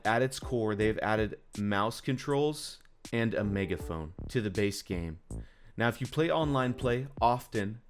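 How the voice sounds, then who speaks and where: Casting: male gaming creator, lowish voice, off-the-cuff ranting with emphatic disbelief, music underneath. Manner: exasperated, incredulous, slangy and emphatic. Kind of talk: casual unscripted rant commentary on gaming news.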